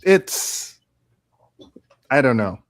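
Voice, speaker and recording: A man's voice: medium pitch, big pitch swings, extremely slow, dry recording, studio quality. A man playing a cocky leading actor who acts the commander, in a live script read. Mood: reassuring. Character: cocky, womanizing male lead actor, self-styled commander.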